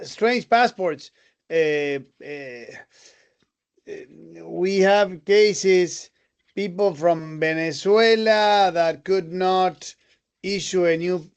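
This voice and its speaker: A man with a distinctly high voice talks informally as a panel participant on a video call. His phrasing is hesitant and broken. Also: lo-fi sound.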